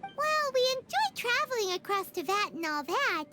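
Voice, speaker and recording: A woman voicing a tiny, chatty, excitable female companion who talks about herself in the third person, in a game cutscene. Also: very high voice, moderate pace. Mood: chatty, curious. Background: game music.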